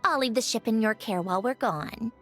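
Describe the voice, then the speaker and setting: A woman voicing a calm, polite young female researcher and adventurer in a video game, with a thoughtful, matter-of-fact manner. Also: fairly high pitch, brisk, faint music behind, close to an everyday voice. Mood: courteous.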